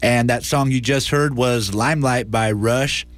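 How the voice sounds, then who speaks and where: A man's voice: medium pitch, a little slow, no room sound, faint music behind. A man with an American accent presenting community radio in a casual, conversational way as host and interviewer.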